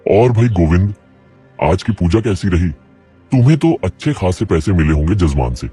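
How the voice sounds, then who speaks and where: A poor village potter in a cartoon story, voiced by a man. His voice is very low, dark and husky, and he talks in a worried, plain-spoken way. Faint music runs underneath. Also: big pitch swings, normal speed, heavily acted. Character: poor struggling middle-aged male potter.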